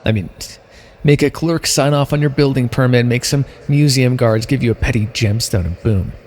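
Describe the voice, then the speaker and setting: A man's voice: mid-range voice, moderate pace, a little mellow, lightly acted. A man playing an imprisoned minor supervillain with mind powers in an ASMR roleplay, talking straight to the listener in a theatrical, rambling way. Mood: wry, scheming. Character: imprisoned minor supervillain with mind control, chatty, scheming, smug.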